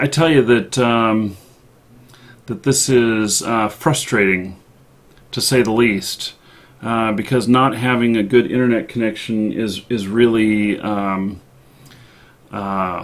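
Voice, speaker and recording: A man hosting a live stream in an unscripted, chatty way, speaking haltingly with long pauses. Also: fairly low pitch, a bit bright. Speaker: live show host.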